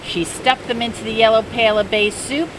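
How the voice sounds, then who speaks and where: An older woman reading a children's picture book aloud in a gentle, unhurried bedtime-story manner. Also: fairly high pitch. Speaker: storybook reader. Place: outdoors by the sea. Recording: steady outdoor noise.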